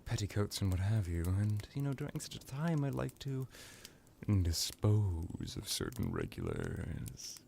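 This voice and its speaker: A man voicing an ancient, smug vampire crime lord in an ASMR roleplay, drawling with theatrical pauses and sarcastic condescension. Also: sits low, gravelly, mellow, a little hiss or hum, exaggerated voice.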